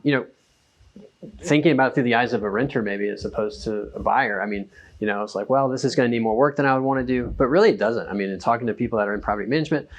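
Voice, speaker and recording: A man in a casual chat as a guest, explaining property investment informally and thinking aloud. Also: mid-range voice.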